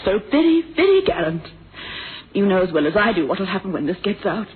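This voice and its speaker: A woman's voice: mid-range voice, sing-song, brisk, a crowd in the background, close to an everyday voice. A woman acting a proud, defiant widow in a radio mystery drama, theatrical, emotional and biting. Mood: bitter, wounded. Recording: muffled old radio drama recording.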